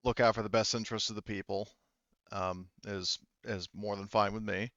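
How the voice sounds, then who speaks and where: An agreeable, dutiful female colonial official, played by a man in a tabletop game, speaks in a low, smooth voice. The recording is dry and slightly dull. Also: steady tone, normal speed, fairly bright tone, no room sound. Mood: deferential, agreeable.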